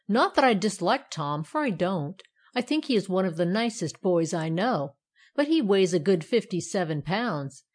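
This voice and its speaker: A woman's voice: medium pitch, close to an everyday voice. A woman voicing a cheerful, smug male fireplace poker in a children's storybook, chatty and boastful, breaking into sing-song verse.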